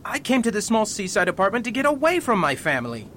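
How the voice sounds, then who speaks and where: A man's voice: high-pitched, very animated, fast talker, fairly bright tone, slight background noise. An actor playing a harried wealthy man under blackmail in a radio drama, pleading and anxious.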